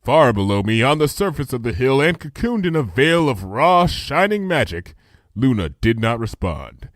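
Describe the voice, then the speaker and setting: A man narrating a comic fan story in a lively storytelling style. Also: medium pitch, normal speed, no echo, studio quality. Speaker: fanfiction reader. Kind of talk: dramatic audiobook narration.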